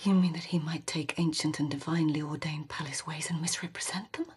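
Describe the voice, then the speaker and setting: A woman acting a proud, sharp-witted court lady in a radio drama, speaking with crisp, sceptical irony. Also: sits low, fairly even intonation, brisk. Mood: mocking, probing.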